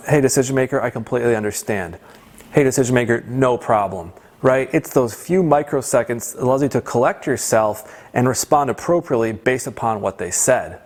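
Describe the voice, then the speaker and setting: A man giving an instructional talk as a sales trainer, conversational and emphatic, often checking in with the listener. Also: mid-range voice. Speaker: sales trainer presenting a course. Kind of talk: instructional presentation with role-played example lines.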